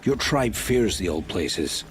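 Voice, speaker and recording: An actor playing an uneasy, secretive scavenger in a fantasy game, halting and flustered as he speaks. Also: lowish voice, animated, a little hiss or hum. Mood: surprised, wary.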